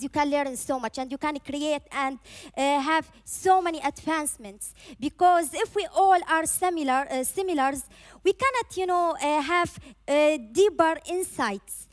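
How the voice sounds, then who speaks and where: Earnest high-voiced woman from Iran. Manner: earnest, many "uh" and "you know" fillers. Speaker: panel guest. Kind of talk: panel discussion.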